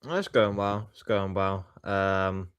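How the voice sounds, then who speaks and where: Low-voiced man, hesitant co-host. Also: steady tone, takes it slowly, fairly bright tone, clear, resonant tone, no echo, highs cut off. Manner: hesitant, many ums. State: sore from boxing. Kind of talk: casual chat.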